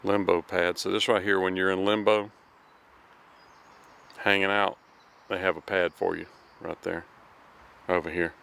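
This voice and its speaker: A man's voice: low voice, little pitch movement, unhurried, creaky, long pauses, a bit bright, clean tone. A middle-aged man giving a casual walking-tour vlog outdoors in a city square, chatting to his viewers as friends. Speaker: travel vlogger. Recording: noisy outdoor street recording.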